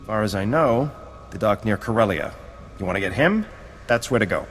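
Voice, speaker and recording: A man's voice: fairly low pitch, animated, moderate pace. An actor playing a nervous, fawning male gangster informant who stammers and hedges in a game. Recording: game audio with long reverb, faint noise.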